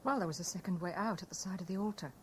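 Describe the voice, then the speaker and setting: A woman's voice: fairly low pitch, racing, close to an everyday voice. An actress playing a mistress confessing her part in a murder plot, with theatrical 1970s radio drama delivery. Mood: cool, matter-of-fact. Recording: noisy old radio broadcast recording.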